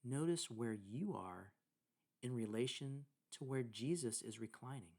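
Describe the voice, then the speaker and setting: A man leading a guided meditation, speaking deliberately in short phrases with pauses between them. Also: medium pitch, a little mellow. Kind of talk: guided meditation narration with scripture reading.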